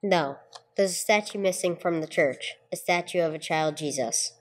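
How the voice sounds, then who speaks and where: A woman's voice: fairly low pitch, moderate pace, close to an everyday voice. A woman playing a terse, sober police detective who narrates a case in an old-time radio drama style, on a noisy recording. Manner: matter-of-fact, clipped. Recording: clearly noisy amateur recording.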